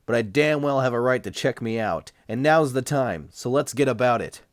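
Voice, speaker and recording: A man's voice: medium pitch, expressive, normal speed, no room sound, clean studio sound, pitched above the performer's own voice, close to an everyday voice. A man voicing a tough, sardonic patient in an audiobook, blunt and commanding with a threatening edge. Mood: defiant. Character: tough, demanding, sardonic escaped male patient. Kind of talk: audiobook character dialogue.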